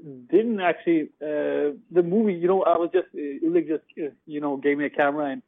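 A man's voice: fairly high pitch, fairly quick. A man in his twenties from Norway, a journalist and director, talking casually with lots of "you know" and "like". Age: 20s. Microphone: phone. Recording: tinny phone line.

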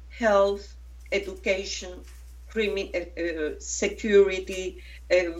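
Woman filmmaker, slow and halting. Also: fairly low pitch, far from the mic, low-quality recording. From Venezuela. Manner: halting, many restarts and fillers. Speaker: documentary filmmaker. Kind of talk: interview.

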